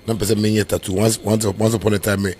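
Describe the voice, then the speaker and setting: A Ghanaian-accented man with a husky, fairly low voice. He rambles through his story as a musician guest in a casual interview and slips in and out of Twi and Pidgin. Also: flat delivery, fast, darkish tone, slight background noise. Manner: code-switches into Twi and Pidgin; rambling, filler-heavy storytelling.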